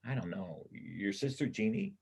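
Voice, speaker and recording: Man acting a hesitant, low-voiced wistful fugitive. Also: animated, vocal fry, a little mellow, breathy edge, full character voice. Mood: doubtful. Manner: hesitant, halting. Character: doubtful, wistful fugitive bank robber with a gentle heart. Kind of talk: reader's theater stage acting.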